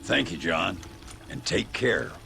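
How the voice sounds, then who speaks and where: An actor playing a gravelly old-timer ally in a Western video game. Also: male voice, low-pitched, lively intonation, slow pace, very noisy, full character voice. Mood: measured. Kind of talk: video game cutscene acting. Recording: loud music behind the voice.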